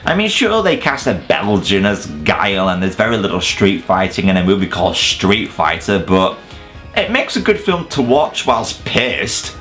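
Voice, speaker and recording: A man's voice: low voice, huge pitch swings, normal speed, a bit bright. A man presenting a scripted countdown review as a video host, in an opinionated, casual, sweary manner. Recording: music playing behind the voice.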